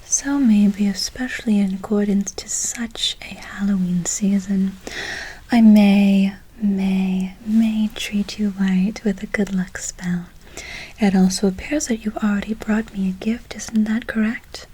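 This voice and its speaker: Woman as a whispering, breathy forest witch. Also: medium pitch, steady tone, warm tone, rough recording. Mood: soothing, mysterious. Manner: slow, repeating words soothingly, quaint formal phrasing. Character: kindly, mysterious female forest witch casting good-luck spells. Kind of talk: whispered ASMR role play.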